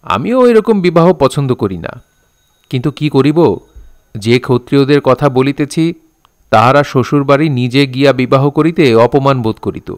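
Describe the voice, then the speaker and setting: A man's voice: medium pitch, lively intonation, clear, resonant tone, lightly acted. A man playing a patient elder storyteller. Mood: storytelling. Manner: deliberate, old-fashioned storytelling diction. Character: patient elder man improvising a folk tale. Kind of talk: storytelling within an audio drama.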